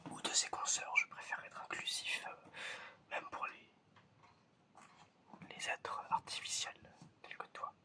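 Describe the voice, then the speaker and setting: A man doing an ASMR roleplay in a breathy, unvoiced whisper, addressing the listener directly and often repeating himself. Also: measured pace, pauses often. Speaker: ASMR video creator playing an examiner.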